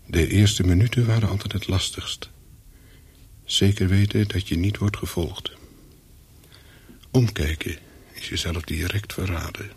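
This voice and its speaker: An actor playing a wary, secretive English hotel guest in a radio play, telling his story in short, measured sentences with a husky voice. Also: fairly even intonation, heavily acted. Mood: brooding, reflective. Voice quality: low, husky. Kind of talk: radio play, first-person narration with dialogue.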